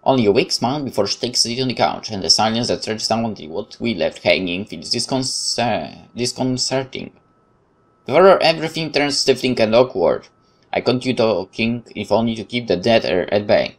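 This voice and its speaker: A man with a Polish accent reading visual novel narration aloud as a game streamer, expressive but now and then stumbling. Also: fairly low pitch, fairly quick.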